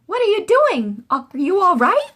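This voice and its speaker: A woman's voice: extremely high pitch, huge pitch swings, unhurried, fairly bright tone, pitched above the performer's own voice, full character voice. A woman voicing a worried, eager young boy in a storybook, pleading and urgent. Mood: alarmed.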